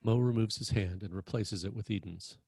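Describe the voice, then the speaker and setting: A man's voice: on the low side, a little fast, gravelly, dark tone, slightly rough, no echo. A man narrating screenplay stage directions in a scripted read.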